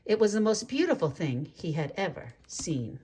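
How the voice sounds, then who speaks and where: Woman reading a kids story out loud, really expressive, voice goes up and down a lot. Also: fairly low pitch, moderate pace, slightly rough. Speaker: storybook reader. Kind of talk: read-aloud children's story narration with asides.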